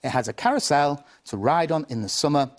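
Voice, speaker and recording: A man's voice: a little high, animated, brisk. A man, a primary head teacher, speaking to an audience in a simple, instructive classroom manner. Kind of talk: explaining and teaching to an audience, storybook reading. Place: television studio.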